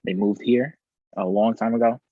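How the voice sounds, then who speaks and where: A young man talks through a video call in a loose, meandering way, leaning on fillers and restarts. His voice sits fairly low and stays flat, with a slightly husky edge. The audio is dry and muffled. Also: normal speed, no room sound. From New York. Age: young adult. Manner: rambling, filler-heavy, laid-back. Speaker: student presenter. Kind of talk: casual video call presentation.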